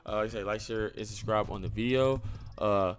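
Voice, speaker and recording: Low-voiced young man, casual and slangy. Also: steady tone, a little fast, smooth. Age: young adult. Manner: informal, slangy, repeats himself. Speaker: reaction video host. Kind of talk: casual reaction commentary. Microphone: close desk microphone. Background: music.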